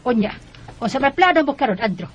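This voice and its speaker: A woman's voice: on the high side, sing-song, fast, lo-fi sound, soft background music. A woman playing a blunt, disapproving, gossipy grandmother in a radio drama, emphatic and with a Bisaya accent. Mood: concerned, startled. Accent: Bisaya Filipino. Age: older.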